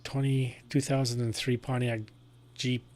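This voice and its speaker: A man's voice: mid-range voice, little pitch movement, a little slow, a little mellow. A man chatting casually as an amateur radio operator. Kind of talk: casual ham radio chat.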